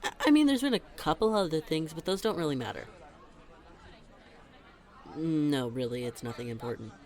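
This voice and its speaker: A young man plays a best friend in an intimate audio roleplay, teasing and talkative. His voice sits on the high side for a man. The delivery is conversational and animated, with casual, emphatic phrasing. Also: normal speed, some chatter behind, close to an everyday voice. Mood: flustered, evasive. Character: teasing, chatty best friend with a hidden crush. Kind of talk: conversational scripted roleplay monologue.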